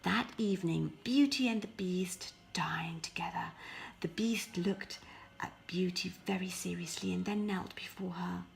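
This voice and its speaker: A woman narrating a scripted fairy tale as an expressive storyteller, often hushing her phrases. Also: fairly low pitch, measured pace, gravelly. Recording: faint background noise.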